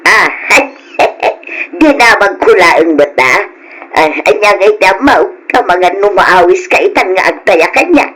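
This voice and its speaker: An actress playing an expressive woman in an Ilocano radio drama, on a noisy old recording. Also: mid-range voice, takes it slowly, slightly rough, exaggerated voice. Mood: teasing.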